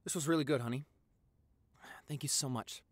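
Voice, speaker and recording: A young man playing an affectionate, excitable vampire boyfriend in an intimate audio roleplay, gushing and stammering. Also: mid-range voice, fairly quick, slight background noise, natural voice. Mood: tender, content. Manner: gushing, stammering, repeats himself.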